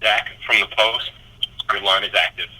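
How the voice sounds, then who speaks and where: Dude running a conference call, short stock announcements, lowish but super crisp edgy voice, tinny phone. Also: male voice, fairly even intonation, moderate pace, bright, forceful tone. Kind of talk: brief formulaic announcements.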